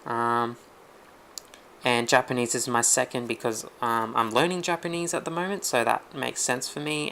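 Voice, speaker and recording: A man walking through computer settings in a relaxed, rambling way, with lots of ums. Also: medium pitch, vocal fry, a little hiss or hum. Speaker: tech tutorial host. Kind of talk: casual screen-recorded walkthrough.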